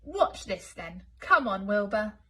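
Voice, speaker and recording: A woman's voice: mid-range voice, very animated, slow pace, sharp tone, heavily acted. A woman voicing a cheerful, mischievous male elephant in a children's picture book, playful and exclaiming. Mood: playful, teasing.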